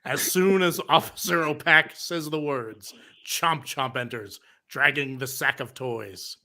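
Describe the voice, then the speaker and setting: Bright-voiced man narrating a table read. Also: on the high side, unhurried.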